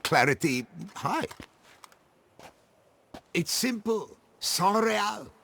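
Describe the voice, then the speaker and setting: An actor playing a fussy, self-assured court sommelier in a fantasy game, murmuring and savoring each pronouncement. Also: male voice, high voice, very animated, bright, forceful tone, a little hiss or hum, full character voice. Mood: pensive, savoring. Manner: slow, savoring, hesitant murmurs between pronouncements.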